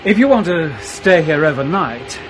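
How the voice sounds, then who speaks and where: A man's voice: fairly high pitch, very animated, normal speed, poor audio. An actor playing a hesitant, wry, teasing male co-pilot in a radio drama.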